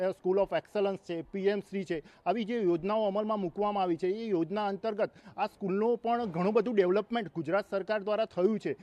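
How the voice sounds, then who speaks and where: A man's voice: sits high, darkish tone, dull audio. A man with a Gujarati accent, a school principal, answering an interview formally and mixing in English words. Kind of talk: interview answers explaining his side.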